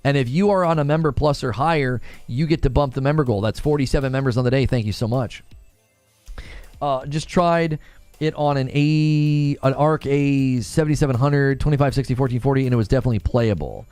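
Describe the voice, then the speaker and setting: A man's voice: mid-range voice, brisk, a little mellow, clean tone, no room sound, faint music behind. A young man hosting a live stream, chatting loosely about games and reading chat, with many fillers and restarts. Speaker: streamer host.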